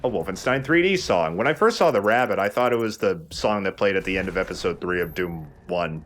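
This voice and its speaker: A man's voice: low-pitched, expressive, a little fast, a bit bright. A man giving casual, joking, sweary commentary as he plays a video game with friends. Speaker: let's play host playing the game. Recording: faint background noise.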